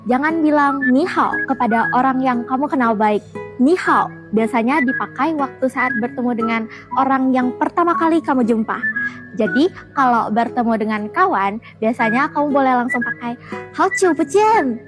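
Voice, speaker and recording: A woman's voice: sits high, moderate pace, over music. A woman from Beijing, a Mandarin speaker with a strong accent, giving a short, instructive lesson in Indonesian. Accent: Mandarin Chinese. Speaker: language tutor. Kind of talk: short video language lesson.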